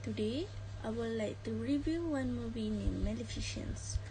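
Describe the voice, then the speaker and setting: A young adult woman, a student giving a scripted review with careful, halting reading. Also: on the high side, mellow, slight background noise, poor audio. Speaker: student presenting a movie review. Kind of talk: scripted narration.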